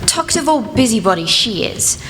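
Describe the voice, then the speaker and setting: A woman's voice: on the high side, big pitch swings, moderate pace, fairly bright tone. A woman playing a bossy, grumbling housekeeper at a village inn in a radio play, with a brusque manner. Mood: matter-of-fact. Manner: brusque, scolding. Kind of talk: amateur radio play acting. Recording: echoey hall, some background noise.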